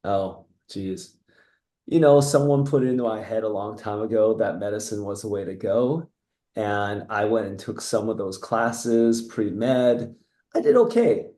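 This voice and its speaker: Guest, middle-aged US man, mellow, reflective and rambling, echoey room. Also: on the low side, animated, measured pace. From United States (Seattle; born in Taiwan). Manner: reflective, rambling with restarts and soft laughs. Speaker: guest, entrepreneur. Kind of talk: casual interview.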